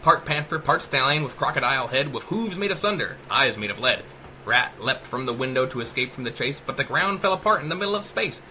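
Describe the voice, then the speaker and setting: Male narrator, dramatic rhyming audiobook, animated pitch, bright forceful tone, emphatic, faint background noise. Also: fairly quick. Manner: theatrical, emphatic. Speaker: author reading own short story.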